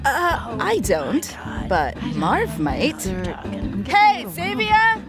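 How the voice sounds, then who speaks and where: A woman plays a friendly, brisk server at a space diner in an audio drama. The voice is high and swoops animatedly, informal and chatty, with music behind. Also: wildly swooping pitch, exaggerated voice.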